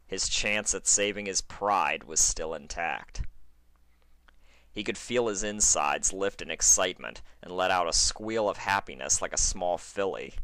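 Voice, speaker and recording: A man's voice: low-pitched, a little slow, sharp tone, no echo. A man narrating a fan fiction story in a dramatic, scripted reading style.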